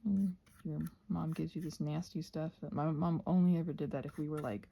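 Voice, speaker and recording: A woman with a soft, breathy voice, often close to a whisper, musing aloud in a playful, whimsical ASMR ramble as she crafts. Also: sits low, fairly even intonation, fairly quick.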